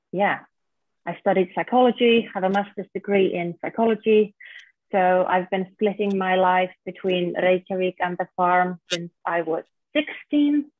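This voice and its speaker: A woman's voice: medium pitch, no room sound. A woman in her thirties from Iceland with an Icelandic accent, chatting casually as a podcast guest about her life. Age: 30s. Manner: relaxed, plainspoken storytelling. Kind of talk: casual interview chat. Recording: dry, tinny phone-like line.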